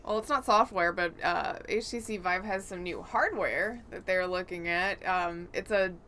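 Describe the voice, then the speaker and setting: Female podcast co-host. Bright, crisp-edged tone, casual delivery, light background noise. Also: lowish voice, normal speed. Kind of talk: casual panel chat presenting gaming news.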